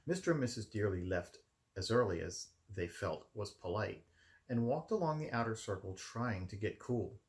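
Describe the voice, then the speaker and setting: Low, creaky-voiced man reading a storybook. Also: lively intonation, moderate pace. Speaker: amateur storybook reader. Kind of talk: scripted storybook narration. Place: his room at home.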